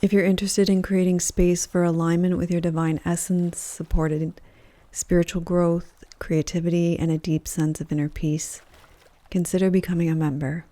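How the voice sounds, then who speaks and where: A woman leading a guided yoga nidra meditation in a calm, gentle, measured voice with soft pauses between phrases. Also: on the low side, dry recording. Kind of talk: guided meditation, soothing scripted narration.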